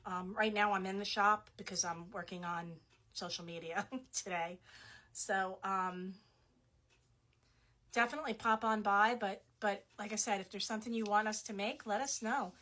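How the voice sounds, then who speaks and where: A middle-aged woman who runs a small shop, chatting casually as she shows off products, her voice going hoarse, with frequent fillers and laughs. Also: mid-range voice, steady tone, moderate pace, pushed and bright.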